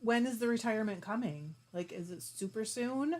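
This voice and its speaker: A woman's voice: fairly high pitch, animated. A woman hosting a fiber arts live stream, chatting casually with viewers and reading their comments aloud while she spins yarn. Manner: chatty and warm, with frequent laughs and quick asides; talks while spinning yarn.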